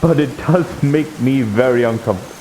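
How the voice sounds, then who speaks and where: A man playing an earnest, bookish holy knight in online roleplay, speaking haltingly and easily flustered. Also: medium pitch, warm tone, slight background noise, natural voice, poor audio. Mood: uneasy, confiding. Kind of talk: improvised online roleplay chat.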